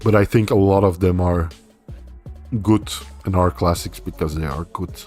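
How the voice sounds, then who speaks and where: A man's voice: low-pitched, moderate pace, soft background music. A young man whose first language is Dutch, hosting a casual livestream and answering viewer comments. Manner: relaxed, rambling, reads viewer comments aloud and answers. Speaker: livestream host.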